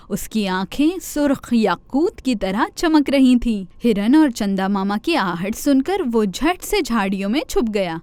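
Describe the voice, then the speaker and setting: A woman narrating a children's cartoon fairy tale in an expressive storytelling manner, speaking to kids. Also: a little high, no echo.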